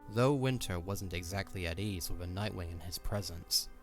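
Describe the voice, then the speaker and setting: Casting: male narrator, low voice, scripted fantasy storytelling over faint music. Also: normal speed.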